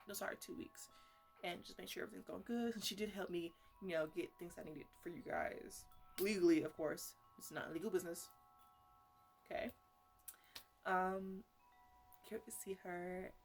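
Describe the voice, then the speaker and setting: A woman's voice: medium pitch, lightly acted. A woman playing an eccentric, chatty botanist in an ASMR roleplay, rambling and stammering as she talks fondly and scoldingly to her plants. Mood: casual, rambling.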